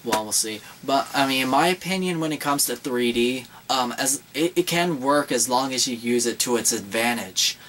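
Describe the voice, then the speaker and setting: A man's voice: medium pitch, animated, normal speed, few pauses, a bit bright, distant mic, slight background noise. A young man co-hosting a casual horror movie chat in a small room, rambling with many fillers and restarts. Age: young adult. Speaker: horror channel co-host.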